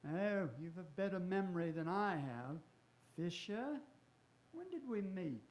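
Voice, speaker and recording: A genteel but shady middle-aged gentleman for a radio play. His voice is notably high for a man. He talks with clubby, old-fashioned courtesy, dry and always a little guarded. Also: sing-song, measured pace. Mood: jovial, wary. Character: wary, genteel middle-aged rogue dealing in property. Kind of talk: stage radio-drama acting.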